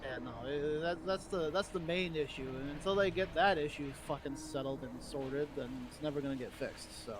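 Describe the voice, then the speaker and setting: A man with a fairly high voice talks casually in a role-play game. He is blunt and sweary, grumbling as he goes. Faint street and vehicle noise runs behind him. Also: fairly quick, hardly any pauses. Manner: blunt, profane, grumbling rant. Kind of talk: casual in-character chat.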